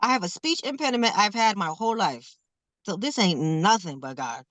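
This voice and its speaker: A woman's voice: medium pitch, expressive, normal speed, a bit bright, no echo. A woman around forty, a guest who performs a rhythmic, emphatic spoken word poem and then talks casually with laughs. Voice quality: says she stutters, not heard. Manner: rhythmic, emphatic poetry delivery with laughs between phrases.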